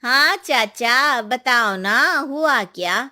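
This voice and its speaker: Woman playing a bright, high-voiced, reassuring village woman. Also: animated, very slow, full character voice. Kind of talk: cartoon moral-story acting.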